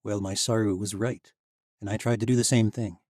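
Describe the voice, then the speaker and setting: Audiobook: male reader as a haunted young man, mellow and husky, hesitant delivery. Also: steady tone, brisk, no room sound, clean studio sound, close to an everyday voice. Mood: pleading. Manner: hesitant, low and pleading. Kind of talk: audiobook character voice.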